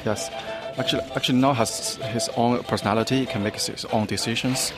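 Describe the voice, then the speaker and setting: A man's voice: medium pitch, steady tone, fairly quick, music in the background. A man explaining and demonstrating in an interview, speaking non-native English. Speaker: robot demonstrator.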